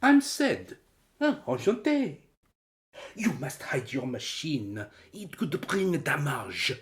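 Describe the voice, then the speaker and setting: A slow-spoken foreign man played by an actor, with lively rises and falls in his voice. He is a wary but courteous castaway in a radio adventure, choosing his words carefully and haltingly. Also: on the high side. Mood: courteous, cautioning. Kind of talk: radio adventure drama acting.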